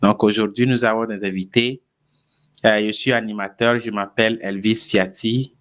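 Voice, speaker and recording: A man from Ghana, a native English speaker, hosts a podcast in a slow, halting way, full of fillers. His voice comes through a video call with dull, lo-fi sound. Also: lowish voice, fairly even intonation, a bit bright. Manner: hesitant, slow, many fillers. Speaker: podcast host. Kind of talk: casual chat.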